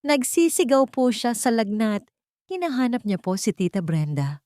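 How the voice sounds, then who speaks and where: A woman voicing a worried nurse, high and urgent. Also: sing-song, moderate pace, warm tone, no echo, studio quality.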